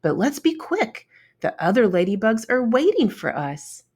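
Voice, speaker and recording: A woman's voice: on the high side, big pitch swings, normal speed, darkish tone, slightly rough, voice pushed up. A woman voicing a friendly, caring female ladybug who urges her friend along in a children's storybook read-aloud. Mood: helpful, urging.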